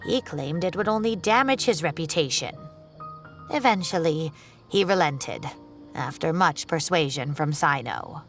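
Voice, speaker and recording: A woman voicing a genteel, worried older female professor in a video game, with gently fretful exclamations. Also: deep voice, lively intonation, a little slow, faint music behind. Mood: concerned, recounting.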